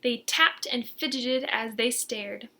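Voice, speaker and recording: A woman narrates a children's story in a bright, forceful voice that sits a little high. She reads expressively, leaning on words for dramatic effect. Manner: dramatic, deliberate emphasis. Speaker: storybook reader.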